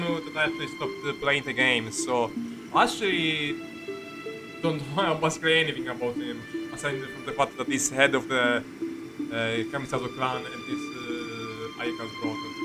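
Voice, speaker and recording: A man giving casual live commentary as he plays a game, halting and repetitive, swearing freely. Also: fairly high pitch, poor audio. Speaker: game streamer.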